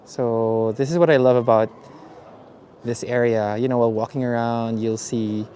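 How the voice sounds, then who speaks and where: A man from the Philippines hosting a live walking tour outdoors, talking in a relaxed, chatty way with many fillers. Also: medium pitch, normal speed. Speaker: vlogger. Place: city streets outdoors. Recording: faint street noise outdoors. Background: street traffic and ambience.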